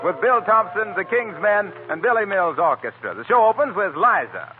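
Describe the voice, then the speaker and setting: Male 1940s radio announcer, high voice, scripted, narrow-band vintage sound with music behind. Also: sing-song, normal speed.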